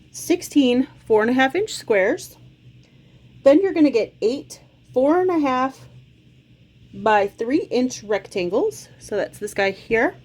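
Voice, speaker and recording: A woman in her forties, a little under the weather with a touch of a cold, talks through quilt cuts in a chatty, friendly way. Her voice sits a bit on the high side, and she guides viewers patiently through each step in a small home sewing room. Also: a little mellow. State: slight cold, occasional cough. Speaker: quilting channel host. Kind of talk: casual sewing tutorial.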